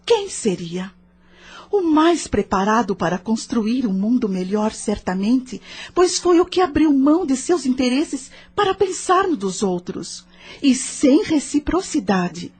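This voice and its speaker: A Brazilian woman acting a patient, moralizing spirit mentor in an audio drama, measured, probing and didactic. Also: mid-range voice, a little mellow, breathy edge. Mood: gently reproving.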